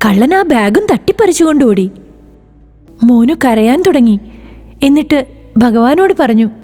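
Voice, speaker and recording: A woman narrating a children's moral story in an expressive, sing-song way. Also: sits high, a little slow, soft background music.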